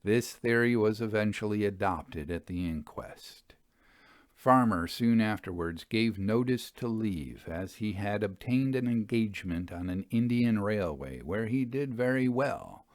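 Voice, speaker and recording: Low-voiced man reading an old ghost story. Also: animated, unhurried, no room sound, studio quality. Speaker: story narrator. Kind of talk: literary audiobook reading of a Victorian ghost tale.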